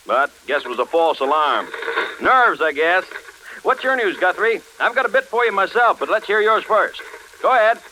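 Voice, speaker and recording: An actor playing an excitable engineer talking over a shortwave set in a 1940s radio drama. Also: male voice, high-pitched, moderate pace. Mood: breezy, chatty. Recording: noisy vintage radio drama recording.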